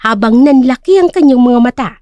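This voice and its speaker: A woman's voice: on the high side, animated, brisk, mellow, no room sound. A woman narrating a scripted dramatic story.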